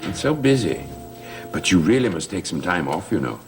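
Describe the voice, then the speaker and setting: Suave, deep, swooping gentleman. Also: big pitch swings, normal speed, slight background noise. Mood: courtly. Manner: courtly, condescending. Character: suave, patronizing gentleman with veiled menace. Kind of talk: 1960s TV soap acting.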